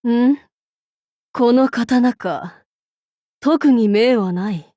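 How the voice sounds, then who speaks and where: A woman's voice: fairly high pitch, extremely slow, warm tone, dry recording, studio quality. A woman voicing a haughty female demon-king warlord in a video game, speaking in an archaic, lordly and commanding way with amused authority. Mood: grave, musing. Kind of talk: Japanese game character voice lines.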